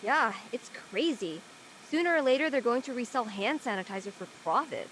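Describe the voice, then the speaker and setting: A woman acts out a practical teenage girl in a conversational audio drama. Her voice sits fairly high and swings freely in pitch, with a casual, matter-of-fact delivery over clear background noise. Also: animated, brisk, a bit bright. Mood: casual concern. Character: Jackie, practical seventeen-year-old high school girl. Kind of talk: conversational acting in an online audio play.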